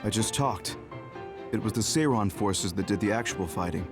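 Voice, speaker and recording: An actor voicing a composed, dutiful major general in an anime game, speaking in a measured, understated way. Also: male voice, fairly low pitch, over music, close to an everyday voice. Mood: serious. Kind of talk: English anime game voice acting.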